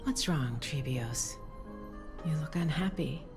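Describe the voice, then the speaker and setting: Game role: gentle priestess mother, low register, breathy, soothing, formal, music underneath. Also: slow pace. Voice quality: soft, breathy. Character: gentle, tender mother, solemn temple priestess. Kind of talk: voiced game cutscene dialogue.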